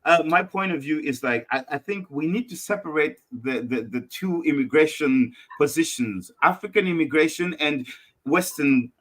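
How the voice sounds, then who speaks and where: Bright-voiced man debating. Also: on the high side, animated, normal speed. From Malawi. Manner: insistent, repeats phrases for emphasis. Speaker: podcast co-host. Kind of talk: casual debate. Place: small room at home, on a video call. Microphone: video call with headphones.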